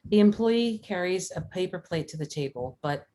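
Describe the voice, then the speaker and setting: A woman's voice: on the low side, expressive, moderate pace, warm tone, a little hiss or hum, rough recording. A woman reading scripted stage directions aloud at a script table read. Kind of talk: scripted narration of screenplay action.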